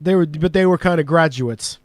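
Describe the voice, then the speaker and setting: A man's voice: on the high side, moderate pace, no room sound, studio quality. A man with a Canadian accent co-hosting a podcast in casual, talkative chat, joking and swearing freely. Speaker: podcast co-host.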